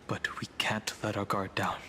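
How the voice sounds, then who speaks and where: A man voicing a guarded, serious young adventurer in a video game, cautious and hushed. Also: fairly low pitch, level tone, moderate pace, in a whisper, long reverb tail. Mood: wary.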